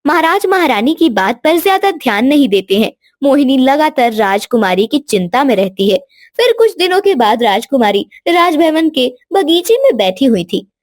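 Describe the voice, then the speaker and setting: A woman's voice: high voice, very animated, normal speed, no room sound, clean studio sound. A woman storyteller reading scripted narration for a children's moral story.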